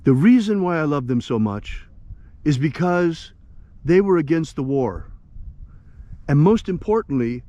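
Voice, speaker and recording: An older man preaching with fervor, his voice sitting a touch high and swinging widely in pitch. He climbs into sharp, indignant outbursts, then leads a call-and-response prayer. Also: lo-fi sound. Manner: impassioned, rising to indignant outbursts. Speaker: ritual leader. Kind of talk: preaching and leading a ritual prayer.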